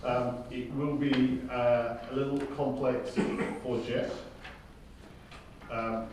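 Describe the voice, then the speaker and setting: A man leading a project, informally briefing a group at a table read in a halting, think-aloud way. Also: mid-range voice, little pitch movement, darkish tone. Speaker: project leader and director running a table read. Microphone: distant room microphone. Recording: distant, echoey room with clear noise.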